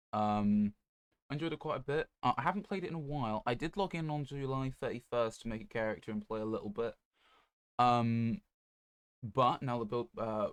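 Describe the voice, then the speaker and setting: A man chatting casually on a gaming livestream in a low-key, rambling, hesitant way. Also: dry recording. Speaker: video game streamer.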